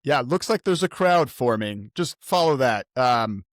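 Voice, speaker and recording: A man's voice: medium pitch, expressive, measured pace, no room sound, studio quality. A man playing a tabletop role-playing game, reacting and speaking casually for his character.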